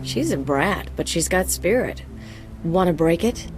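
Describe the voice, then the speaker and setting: A sardonic female sidekick in a sci-fi game. Her voice is on the low side with lively, wry intonation, and music sits clearly behind it. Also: unhurried, low-quality recording. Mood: mischievous. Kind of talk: video game voice acting.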